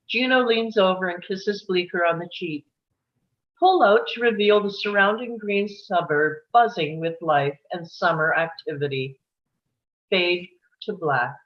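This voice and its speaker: An older woman reading screenplay action descriptions as scripted narration. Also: fairly low pitch. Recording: dull, muffled video call audio.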